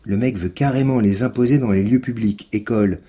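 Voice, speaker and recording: A persistent investigator with a low, husky voice. He is curt, serious and matter-of-fact, with a curious streak, acted naturally for an audio drama. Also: a little fast, mellow. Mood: intent, explanatory. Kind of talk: naturalistic French audio fiction acting.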